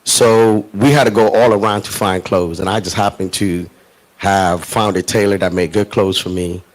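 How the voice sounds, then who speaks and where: Middle-aged man, very low voice, measured and reflective, panel guest at a live event. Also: fairly even intonation, rough recording. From Ghana. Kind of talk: panel discussion.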